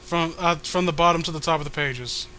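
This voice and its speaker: A man's voice: fairly high pitch, brisk, pushed and bright, slight background noise. A man hosting a podcast, reading a comic aloud with casual, sarcastic commentary. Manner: sarcastic, joking.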